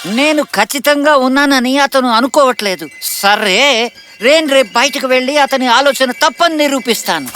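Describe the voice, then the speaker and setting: A man as high-voiced, earnest young cartoon prince. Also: moderate pace, sharp tone, soft background music. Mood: indignant. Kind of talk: animated fairy tale dub.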